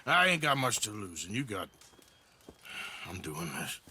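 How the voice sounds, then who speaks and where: Weary gunslinger, Western drawl, deep and hard-edged, swinging intonation, blunt game character. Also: male voice, sing-song, a little slow, pushed and bright, a little hiss or hum, full character voice. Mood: grim, resolute. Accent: American Western drawl. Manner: folksy, laconic, blunt. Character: weary, gruff American outlaw gunslinger man. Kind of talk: video game cutscene acting.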